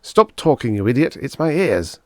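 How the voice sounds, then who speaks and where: A man voicing an excitable, easily outraged composer in a story, urgent and exclaiming. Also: medium pitch, normal speed, dry recording, clean studio sound, voice pushed up, close to an everyday voice. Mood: frantic.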